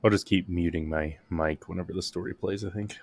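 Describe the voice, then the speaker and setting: A man's voice: low voice, steady tone, a little fast. A man streaming a video game, giving casual live commentary.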